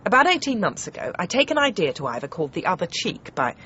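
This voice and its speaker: An actress playing a brisk, domineering TV comedy producer in a radio comedy drama, emphatic and sardonic. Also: female voice, fairly low pitch, very animated, a bit bright, dull audio, slight background noise. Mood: indignant storytelling.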